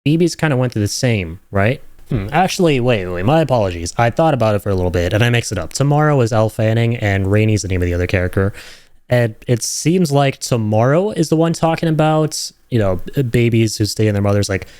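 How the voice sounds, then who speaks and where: A man giving casual reaction commentary, rambling and thinking aloud with some excitement. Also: mid-range voice, fast talker, dry recording, studio quality. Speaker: video creator reacting to game trailers.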